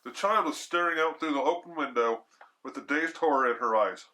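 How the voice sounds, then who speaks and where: Bright-voiced man theatrically reading a story. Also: fairly high pitch, a little fast, rough recording. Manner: theatrical, slangy, chatty asides. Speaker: storyteller, horror show host. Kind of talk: dramatic story reading with casual ad-lib asides.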